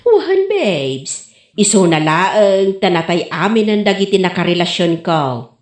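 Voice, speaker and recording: Babai nga agak-akting iti nasam-it nga Ilocana, nabannayat unay, umallangogan. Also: female voice, lowish voice, sing-song, a little slow. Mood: sweetly doting. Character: doting, sugary-sweet Ilocano sweetheart woman. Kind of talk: comedy radio drama acting. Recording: roomy, echoing radio drama sound.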